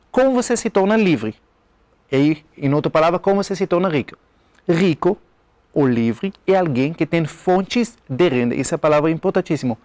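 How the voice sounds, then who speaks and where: A man's voice: fairly high pitch, a little mellow, no echo. Israeli-accented man explaining confidently. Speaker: speaker and author. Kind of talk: TV interview guest. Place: TV studio.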